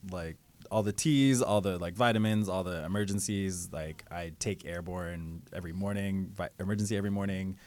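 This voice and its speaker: A man who sings and raps, chatting casually as a podcast guest in a relaxed, filler-heavy way. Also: sits low, normal speed. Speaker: guest musician. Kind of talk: casual chat.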